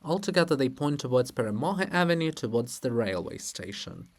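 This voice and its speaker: A man's voice: mid-range voice, big pitch swings, fairly quick, vocal fry. Guy narrating a play, reads out the stage directions and who's speaking. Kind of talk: dramatic play reading.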